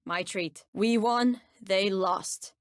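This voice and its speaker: An actress with a Turkish accent voicing a menacing, sardonic nightmare-wielding bounty hunter in a video game, speaking with cool, ominous deliberation. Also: female voice, medium pitch, slow pace, dry recording, studio quality, natural voice. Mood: ominous, measured. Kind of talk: video game character voice lines.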